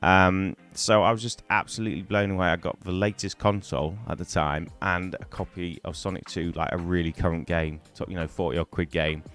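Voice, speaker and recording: A middle-aged man from Wales, hoarse from a cold, chatting to camera in a rambling way on a poor-quality microphone. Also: low-pitched, steady tone, brisk, few pauses, a bit bright. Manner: rambling, chatty, many ums and restarts. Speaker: gaming YouTuber. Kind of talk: casual to-camera storytelling.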